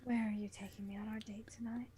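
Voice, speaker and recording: A woman voicing a naive, flirty female cartoon character on a date, in a breathy, hushed voice. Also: mid-range voice, flat delivery, quick pace, voice pushed down.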